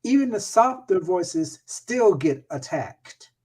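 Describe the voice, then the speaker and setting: A man's voice: high-pitched, very animated, slow, a little mellow. A man speaking as a guest in a casual chat, hesitant and full of fillers.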